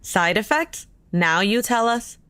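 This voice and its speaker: Wary, animated outspoken woman. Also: medium pitch, slow pace, clean tone, no room sound. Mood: skeptical. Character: wary, outspoken female newcomer questioning the hosts. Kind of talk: scripted audio drama acting.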